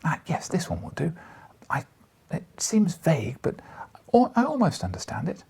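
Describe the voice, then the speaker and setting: A man's voice: fairly high pitch, huge pitch swings, normal speed, vocal fry, a little mellow, husky, voice pushed up, heavily acted. A man voicing an eager, polite young newspaper reporter in an audiobook, earnest and a little hesitant. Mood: puzzled, hopeful. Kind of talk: audiobook character dialogue.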